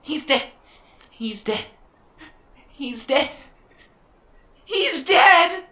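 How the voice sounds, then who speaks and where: A woman's voice: high voice, lively intonation, very slow, sharp tone, roomy sound, heavily acted. A woman playing a naive, nervous little robot in a comic dub, anxious and prone to wailing and repeating itself. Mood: bewildered, panicked. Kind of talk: comic book dub acting.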